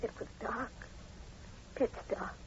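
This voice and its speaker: A woman's voice: slow pace, close to an everyday voice. An actress playing a frightened young woman in an old-time radio drama, tearful, pleading and overwrought. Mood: fearful, accusing. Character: frightened young woman fearing her murderous brother. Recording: muffled, noisy old radio drama recording.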